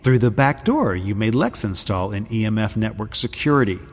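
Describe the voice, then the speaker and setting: Deep, sardonic secret agent. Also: male voice, normal speed, husky, big-room sound. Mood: cool, controlling. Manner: dry, unflappable. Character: cool, sardonic male secret agent, confidently in control. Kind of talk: audio spy comedy acting.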